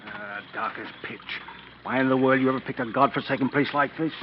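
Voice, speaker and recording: A man's voice: mid-range voice, fairly quick, natural voice. An actor playing a grumbling, angry man who wants his money, in an old-time radio mystery. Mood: irritable, threatening. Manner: irritable, complaining. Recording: muffled, noisy old radio recording.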